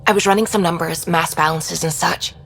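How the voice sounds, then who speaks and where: An actress playing an earnest, thoughtful woman science officer on a starship in a video game, with a measured delivery. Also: fairly low pitch, fairly even intonation, fairly bright tone, a little hiss or hum. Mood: concerned.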